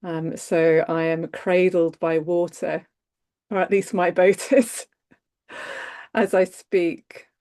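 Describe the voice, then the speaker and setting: A woman with a croaky voice, gently and wryly sharing in an online circle. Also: lowish voice, steady tone, takes it slowly. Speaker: participant.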